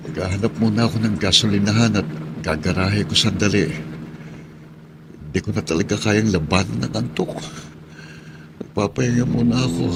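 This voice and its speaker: An actor playing an earnest, hardworking husband and driver in a radio drama. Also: male voice, low voice, gravelly, very noisy. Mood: drowsy. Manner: earnest, reassuring.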